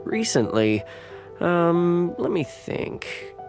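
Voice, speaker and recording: A man's voice: sits high, very animated, very slow, music in the background, exaggerated voice. An actor playing a humble, grateful fisherman in a video game, earnest, folksy and a little hesitant. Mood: weary relief. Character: humble, grateful fisherman, adult male villager.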